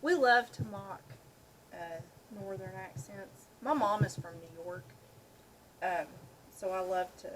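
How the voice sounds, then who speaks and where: A woman's voice: medium pitch, slow, a little hiss or hum, poor audio. A middle-aged woman chatting casually with a dry, joking manner. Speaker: video host. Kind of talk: casual chat.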